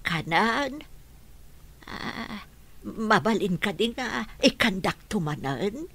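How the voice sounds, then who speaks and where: A woman playing a forgetful, hungry old grandmother in a radio drama, speaking in halting, faltering phrases and repeating herself. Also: mid-range voice, very animated, a bit bright, slightly rough, exaggerated voice. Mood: confused.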